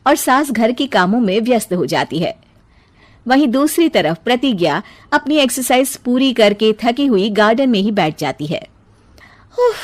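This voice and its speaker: A woman narrating a scripted bedtime story in an even storytelling manner. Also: fairly high pitch.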